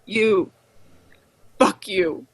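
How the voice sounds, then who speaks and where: A woman playing a distraught, lonely young prisoner in an audio play, halting and shaky, flaring into anger. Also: mid-range voice, dry recording. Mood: angry, defiant. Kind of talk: audio play acting over online stage reading.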